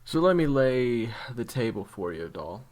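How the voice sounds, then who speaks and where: A man playing a jaded, blunt monster hunter in an audio roleplay, speaking frankly and earnestly to a rookie, with profanity and halting delivery. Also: medium pitch, very animated, darkish tone. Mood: earnest, weary. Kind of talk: dramatic audio roleplay monologue.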